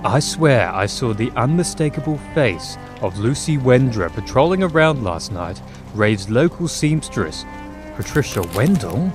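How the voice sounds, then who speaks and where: An actor playing an anxious, loving young Victorian husband in an audio drama, speaking formally and earnestly. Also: male voice, medium pitch, big pitch swings, unhurried. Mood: haunted, earnest. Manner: formal, earnest period phrasing. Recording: music under the dialogue.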